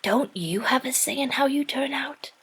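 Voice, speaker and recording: A woman playing an earnest, fiercely supportive woman in an audio drama, speaking with tender, emphatic reassurance in a husky, breathy voice. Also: sits high, normal speed, fairly bright tone, heavily acted. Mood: firm, reassuring.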